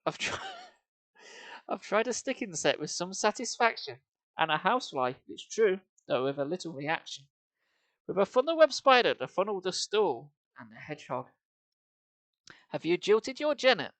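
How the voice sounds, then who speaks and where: A streamer with a mid-pitched voice reads comic verse in an amused singsong, swinging widely up and down. The sound is close and dry, and the delivery is informal, with chatty asides. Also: big pitch swings, normal speed, fairly bright tone, dry recording.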